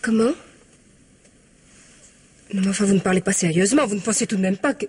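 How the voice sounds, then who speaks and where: A medium-pitched, husky young woman secretary from an old radio mystery. She is earnest and proper, guarded yet anxiously insistent. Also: brisk, a little mellow. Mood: indignant, guarded. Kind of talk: 1950s French radio mystery acting.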